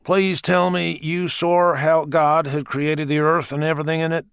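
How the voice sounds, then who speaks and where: A man's voice: on the high side, little pitch movement, moderate pace, vocal fry, fairly bright tone, clean tone, no room sound, clean studio sound, pitched above the performer's own voice. A man voicing an earnest, awkward thirty-year-old male police officer as audiobook dialogue. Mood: pleading.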